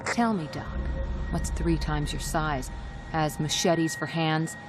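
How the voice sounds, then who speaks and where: A woman's voice: low voice, steady tone, slow, heavy background noise. An actress playing a hardened, world-weary woman who survived a zombie outbreak, narrating a game cutscene in a brooding, terse way. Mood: wry, sardonic. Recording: loud music over the voice.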